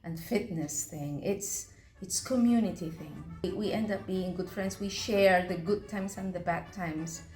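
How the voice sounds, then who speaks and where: A woman's voice: lowish voice, a little slow, a little mellow, soft background music. Chatty woman in an echoey room. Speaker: club member. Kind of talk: documentary interview.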